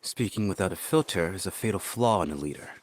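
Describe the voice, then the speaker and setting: A man playing a composed, shrewd young diplomat in a game, speaking in a measured, articulate way with quiet confidence. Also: low voice, lively intonation. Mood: calm, reasoned. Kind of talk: dramatic game dialogue.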